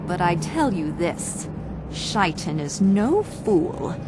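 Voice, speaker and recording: A woman playing a regal, scheming and seductive dark elf princess in a fantasy game, with formal, imperious diction. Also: fairly low pitch, big pitch swings, slow pace, background noise, exaggerated voice. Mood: scheming, cool. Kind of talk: fantasy video game cutscene acting. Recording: loud music under the voice.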